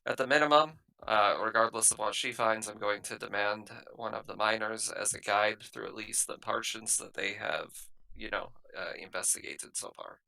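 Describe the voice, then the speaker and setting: A man's voice: normal speed, creaky, hardly any pauses, bright, forceful tone. A man playing a tabletop roleplaying game, moving between firm in-character lines and casual table talk, plain-spoken and given to swearing. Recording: low-quality laptop group session.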